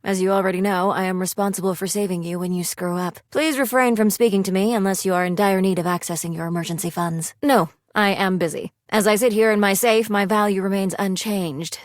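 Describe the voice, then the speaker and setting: A woman's voice: mid-range voice, steady tone, fairly quick, hardly any pauses, no echo, studio quality, lightly acted. A woman playing a video game character, prim and aloof money personified as a stern financial advisor, speaking in a formal, clipped, precise way. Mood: stern, curt.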